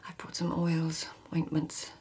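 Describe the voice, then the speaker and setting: An actress playing a self-assured, intimate Japanese court lady in a radio drama, with a hushed, commanding manner. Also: sits low, completely flat delivery, unhurried, in a whisper. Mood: soothing, intimate.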